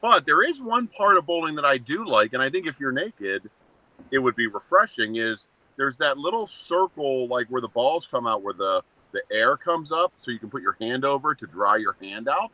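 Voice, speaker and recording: A man in his seventies with a very bright, forceful voice. He co-hosts a relaxed chat show, cracking blunt jokes and listing his dislikes in short, punchy lines. His sound is close and dry, with no room around it. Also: medium pitch, normal speed, no echo, rough recording. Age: 70s. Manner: blunt, joking, rants in short flat statements. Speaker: co-host. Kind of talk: casual chat.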